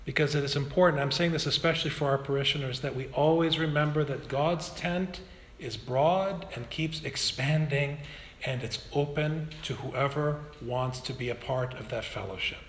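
A parish priest with a slightly high voice, speaking with impassioned earnestness. The sound rings with a long echo from a large church hall, and a faint hum runs beneath it. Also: male voice, normal speed. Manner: earnest and impassioned, with sharp pace shifts. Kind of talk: talk introduction.

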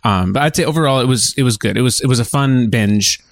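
A man's voice: mid-range voice, fast, no room sound. Casual male podcast co-host, dry sound.

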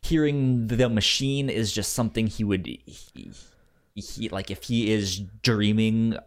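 A man co-hosting a podcast, talking casually about a film in a halting way, often repeating syllables as he searches for words. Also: fairly low pitch. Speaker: podcast co-host.

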